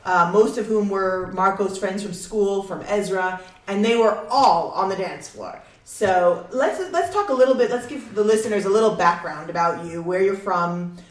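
A cheerful woman in her forties interviews a guest. Her voice is set back from the mic in an echoey room, and her pitch sits in a middle range. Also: moderate pace, few pauses, recorded from a distance. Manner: upbeat, chatty. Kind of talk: casual radio interview. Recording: roomy, a bit off-mic.

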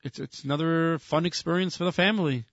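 A man's voice: on the high side, brisk, fairly bright tone, no room sound. A man co-hosting a casual radio chat, agreeing often and talking in loose, rambling sentences. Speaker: radio co-host. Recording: dull dry studio radio sound.

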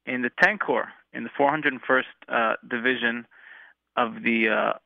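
An earnest man in his thirties with an American accent tells his story slowly and reflectively, pausing on fillers and restarting his sentences. His voice comes through a thin, phone-like line with no room sound and sits at an ordinary pitch for a man. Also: steady tone, vocal fry, no room sound. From Israel, raised in the United States. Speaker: interview guest, musician. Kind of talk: casual interview storytelling.

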